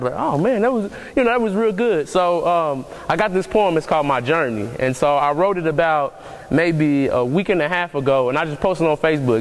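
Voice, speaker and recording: A young man giving an earnest, preaching-style motivational talk to a congregation, repeating and restarting his phrases as he builds emphasis. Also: on the high side. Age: young adult. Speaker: motivational speaker. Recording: echoey hall reverb.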